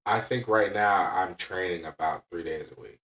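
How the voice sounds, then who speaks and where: A man with a fairly low voice speaks off the mic in an echoey room. He talks like a podcast host and coach, candid and casual. His delivery is blunt and emphatic, with frequent little checks for agreement. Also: measured pace, a bit bright, far from the mic.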